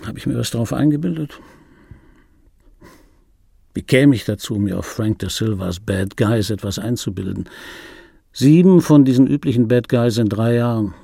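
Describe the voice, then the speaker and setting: A man's voice: mid-range voice, lively intonation, normal speed, vocal fry, pauses often, warm tone, slightly rough, no room sound, clean studio sound. An older man acting a wry, melancholy, proud film dubbing actor in a radio play monologue, laconic, insistent and given to muttered asides. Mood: proud, bitter.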